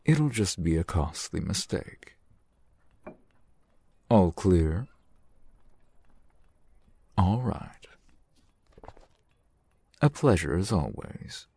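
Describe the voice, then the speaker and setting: A man playing a genial, teasing, flirtatious fantasy shopkeeper in an ASMR roleplay, unhurried and wry. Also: sing-song, dark tone, dry recording, exaggerated voice. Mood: earnest, cautioning, then welcoming. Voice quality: low, gravelly, husky. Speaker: shopkeeper character in audio roleplay.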